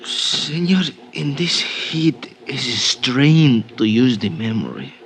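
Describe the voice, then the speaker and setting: An actor playing a small, grinning, scheming bellboy with a Spanish accent and wheedling broken English in an old-time radio drama. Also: male voice, medium pitch, big pitch swings, slow pace, fairly bright tone, heavily acted. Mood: sly, wheedling. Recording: noisy old radio recording with clicks.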